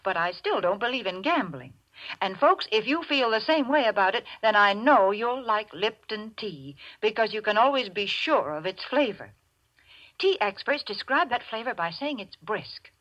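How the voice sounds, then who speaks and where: A woman's voice: medium pitch, expressive, moderate pace, a bit bright. A woman delivering a 1940s radio commercial as a prim, chatty sponsor spokeswoman. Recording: muffled vintage radio broadcast.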